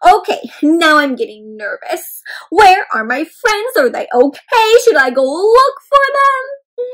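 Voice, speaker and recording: A woman's voice: very high-pitched, very animated, slow pace, fairly bright tone, voice pushed up, exaggerated voice. A woman voicing an anxious little egg boy in a picture book, frantic and exclaiming. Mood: panicked.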